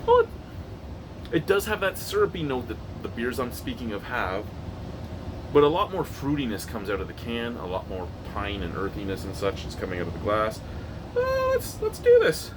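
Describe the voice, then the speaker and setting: A man's voice: mid-range voice, huge pitch swings, moderate pace. A man from Ontario with a Canadian accent giving a casual, rambling, opinionated beer review. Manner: rambling, opinionated, occasionally profane. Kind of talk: casual unscripted product review. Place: indoor room used for recording reviews. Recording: noisy room with clear background hum.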